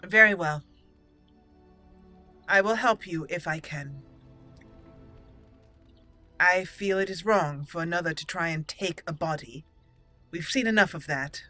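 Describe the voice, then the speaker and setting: A woman voicing a young female elf mage in roleplayed game dialogue, formal and deliberate, with pauses. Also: fairly low pitch, sharp tone, faint music behind. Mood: grave.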